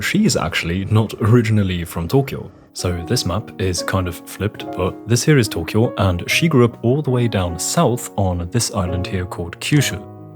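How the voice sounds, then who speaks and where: A young man from Austria narrating a travel vlog voice-over in a relaxed, friendly storytelling way with light self-deprecating humor. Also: low voice. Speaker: travel vlogger.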